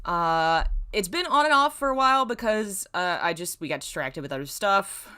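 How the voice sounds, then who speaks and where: A woman's voice: medium pitch, expressive, moderate pace, sharp tone, smooth. A woman hosting a casual livestream, talking in a rambling way and often interrupting herself. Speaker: livestream host. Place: indoors in a dim room.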